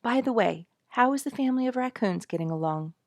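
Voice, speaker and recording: A woman's voice: fairly high pitch, lively intonation, brisk, no echo, studio quality, voice pushed up. A woman storyteller voicing an emotional, self-deprecating and devoted mother in an earnest, dramatic way. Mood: anxious.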